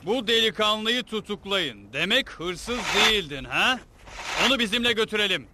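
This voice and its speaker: A man's voice: high voice, sing-song, moderate pace, sharp tone, slight background noise, exaggerated voice. A man playing a stern, commanding soldier captain in a cartoon dub, barking orders and accusations. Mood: menacing. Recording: dramatic music behind the dialogue.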